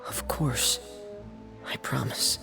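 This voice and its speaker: A man voicing a quiet, reserved, earnest teenage boy in a video game, soft and halting in his delivery. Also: music in the background, natural voice. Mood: solemn.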